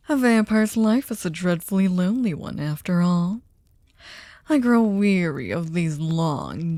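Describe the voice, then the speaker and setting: Woman acting as aristocratic female vampire, ASMR roleplay, dark velvety tone, very slow pace, seductive, sadistic, mock-polite, frequent whispering. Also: fairly low pitch, dry recording, heavily acted. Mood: coaxing, seductive. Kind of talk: ASMR roleplay audio drama.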